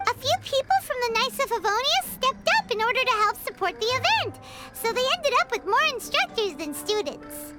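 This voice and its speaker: A woman voicing a tiny, excitable female fairy companion with a squeaky childlike voice in an anime game. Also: normal speed, few pauses, over loud music. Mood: chatty. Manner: excitable, refers to self in third person.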